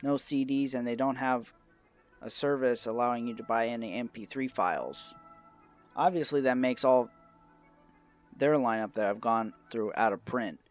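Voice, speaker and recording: A man presenting a collection review in a measured, semi-scripted narration, moving through it item by item. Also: mid-range voice. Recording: dull, lo-fi audio with music behind.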